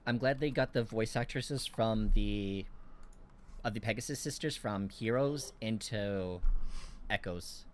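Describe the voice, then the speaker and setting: A man's voice: on the low side, a little slow, slight background noise. A man hosting a casual podcast chat, joking around with friends. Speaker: podcast host.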